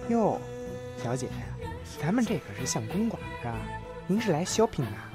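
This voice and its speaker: A woman's voice: deep voice, big pitch swings. A woman playing a coy, singsong greeter at a courtesan house in a comedy audio drama, drawing out the words. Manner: coy, drawn-out. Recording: very loud music over voice.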